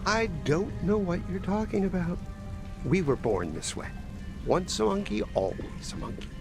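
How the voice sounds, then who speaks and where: A man's voice: high voice, big pitch swings, moderate pace, music in the background. A man voicing a shifty, defensive talking monkey in a video game, with an evasive way of talking.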